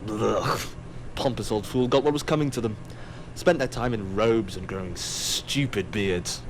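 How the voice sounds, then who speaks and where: An actor playing a wry, ominous narrator of a sci-fi audio drama, dramatic and sardonic. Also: male voice, lowish voice, measured pace, a little hiss or hum, low-quality recording. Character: wry, ominous, all-seeing storyteller of a sci-fi tale.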